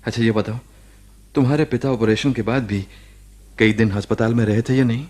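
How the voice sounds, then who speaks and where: A man acting an ailing married former boss in a radio play, defensive and earnest, speaking haltingly to justify himself. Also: mid-range voice, fairly even intonation, darkish tone, close to an everyday voice. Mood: earnest, explaining. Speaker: radio drama actor.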